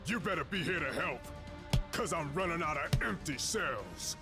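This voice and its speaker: A tough, weary jailer hero from a video game. He barks curtly in a fairly high voice while loud music plays. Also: lively intonation, normal speed. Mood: tough, impatient. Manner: tough, curt. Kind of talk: video game cutscene acting.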